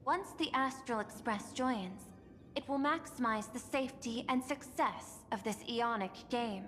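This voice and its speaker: A woman's voice: on the high side, fairly bright tone, big-room sound, soft background music. A woman voicing a composed, calculating, machine-like female administrator in a video game, speaking formally and analytically. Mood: composed, persuasive. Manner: formal, measured, clipped analytical phrasing. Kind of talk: video game character dialogue.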